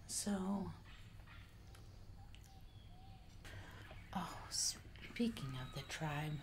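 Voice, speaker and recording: A young woman playing a hungry, casually menacing jungle giantess in an ASMR roleplay, whispering and murmuring softly, close to the microphone. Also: sits low. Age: young adult. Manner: slow, breathy whispering mixed with soft murmurs. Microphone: close microphone in front of mouth. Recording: close mic, noticeable noise and clicks.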